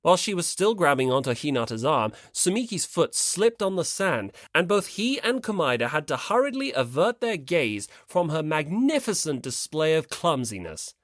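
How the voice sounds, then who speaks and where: An audiobook narrator reading fiction from a script in an expressive storytelling style. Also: medium pitch, moderate pace, hardly any pauses, no room sound, clean studio sound.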